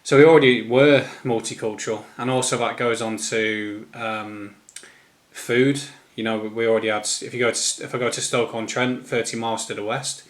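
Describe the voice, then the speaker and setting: A man with an English East Midlands accent giving an informal, unscripted opinion monologue in a rambling, halting manner. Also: mid-range voice, fairly even intonation. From East Midlands, England. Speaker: solo commentator.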